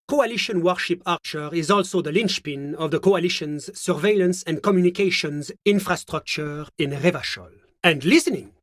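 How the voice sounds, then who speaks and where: A man playing a smug, urbane government official in a video game, with a French accent and a pompous, measured, courteous manner. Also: a little high, a bit bright, dry recording, studio quality. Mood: smug, reassuring. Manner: genteel, pompous, measured, with little questioning hums. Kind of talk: theatrical game character dialogue.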